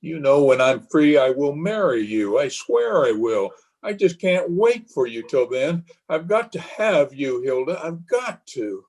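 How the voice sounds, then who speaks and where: Man acting agitated, husky, bitter philandering husband. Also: a little high, lively intonation, unhurried, few pauses, a little mellow. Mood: pleading, infatuated. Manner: melodramatic, agitated. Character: bitter, weak-willed philandering husband, irritable and desperate. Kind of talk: old-time radio melodrama acting. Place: remote recording over Zoom.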